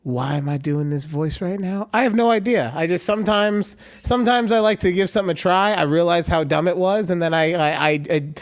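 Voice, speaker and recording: Male podcast co-host, loose rambling delivery, slightly higher voice, casual chat. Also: fairly quick, few pauses. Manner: chatty, rambling, acts out quoted voices.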